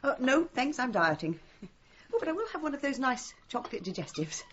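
Fluttery nice english village lady, radio drama, high and very animated, genteel. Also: fairly quick, highs cut off, a little hiss or hum. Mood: polite. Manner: genteel, effusive. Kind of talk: British radio drama acting.

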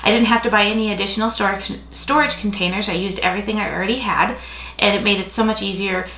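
A woman's voice: mid-range voice, fast talker. A woman in her forties hosting a craft channel, chatting casually as she shows what is in her drawers and correcting herself as she goes. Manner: chatty, self-correcting, relaxed. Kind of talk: casual show-and-tell narration. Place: home craft room, indoors. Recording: bright echoey room with faint noise.

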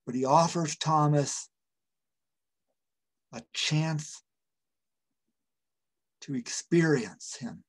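A man in his sixties preaching a reflective sermon as a pastor, speaking deliberately in short phrases with pauses, into a computer microphone in a small room. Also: on the high side, husky. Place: small room at home, indoors. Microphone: computer webcam or video call microphone.

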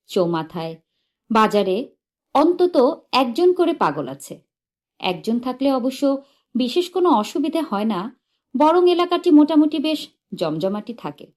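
A woman narrating a humorous short story in an expressive, measured and dramatic storytelling manner. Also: fairly high pitch. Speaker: audiobook narrator.

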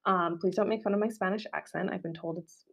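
A woman's voice: on the low side, fairly even intonation, fast talker, gravelly. A young woman with a Canadian accent, hosting a solo podcast in a casual, chatty way with frequent fillers. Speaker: podcast host.

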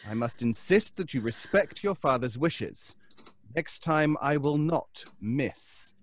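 An actor playing a stiff, formal, menacingly polite lawyer who insists on obedience in a horror audio drama. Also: male voice, on the high side, expressive, slow. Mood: stern. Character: menacing, coldly polite male lawyer enforcing a will. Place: indoors, on a video call.

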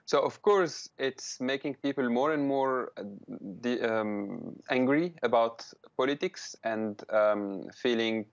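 A man in his thirties, a political analyst, answering a live news interview over a video call from a room lined with bookshelves, speaking in measured phrases with many ums and restarts. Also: medium pitch. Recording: slightly dull video-call audio.

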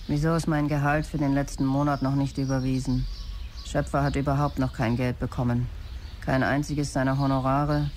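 A woman playing a weary, proud, lovelorn company boss in a radio drama, brooding and dramatic, with a low creaky voice. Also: a little mellow, slight background noise. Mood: weary, curt. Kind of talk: radio drama, dictated messages.

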